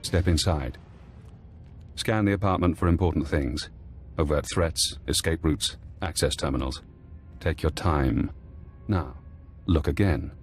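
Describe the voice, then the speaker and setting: A man playing a watchful, patient spymaster in a video game, giving terse instructions in a deliberate, commanding way. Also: rumbling low, soft background music. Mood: controlled, instructing. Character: patient, watchful male spymaster testing an operative.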